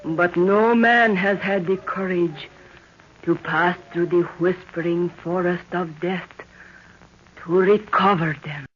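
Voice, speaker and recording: A woman's voice: on the low side, steady tone, slow pace. An actress playing a mysterious, proud young African native girl, speaking formally in an old radio drama. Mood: solemn, mysterious. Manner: solemn, formal, refers to self by name. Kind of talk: 1930s radio acting. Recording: muffled, faintly noisy 1930s radio recording.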